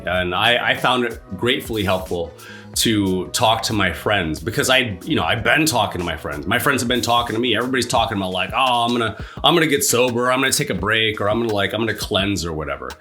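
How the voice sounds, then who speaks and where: A man's voice: on the low side, sharp tone. A man with a congested, stuffy voice hosting his own sobriety channel, chatting casually, laughing at himself, full of fillers and restarts. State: congested from allergies. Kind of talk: casual solo video talk.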